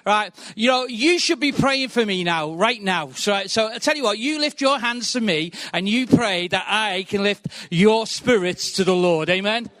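Middle-aged Liverpool man, high voice, dry close sound, quick joking guest preacher. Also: fairly bright tone, no room sound. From England. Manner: quick, chatty, joking, punctuates with "right". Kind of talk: sermon.

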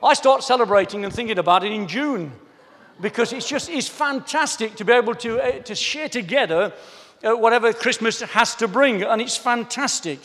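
A man preaching a sermon, reading scripture slowly and then chatting eagerly to the congregation. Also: very high-pitched. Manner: animated, enthusiastic, chatty asides to the congregation. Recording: hall reverb.

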